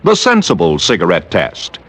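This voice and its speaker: A man's voice: deep voice, wildly swooping pitch, moderate pace, fairly bright tone. A man as a radio announcer, delivering vintage sponsor announcements on an old broadcast.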